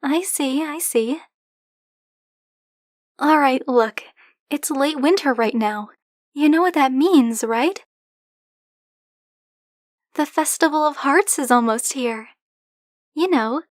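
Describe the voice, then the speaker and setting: A woman's voice: high-pitched, no echo, studio quality. A woman playing a flirty, possessive dragon-blooded librarian in an ASMR roleplay, speaking to the listener in a teasing, coy, insistent manner. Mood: playfully possessive, teasing, then coy, hopeful. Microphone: close, dry microphone.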